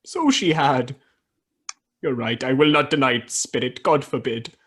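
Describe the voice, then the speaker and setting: A woman playing a regretful, easily moved old man in a radio play, with a husky, breathy voice and halting, dramatic delivery. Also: rumbling low, exaggerated voice. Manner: halting, dramatic, swinging between exclamation and hush.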